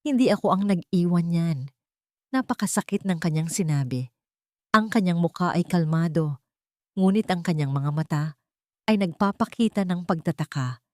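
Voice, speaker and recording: A woman narrator tells a romantic drama in a scripted, dramatic style. Her voice sits a little low for a woman. The recording is dry, with no room sound. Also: moderate pace, pauses often, no echo. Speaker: story narrator.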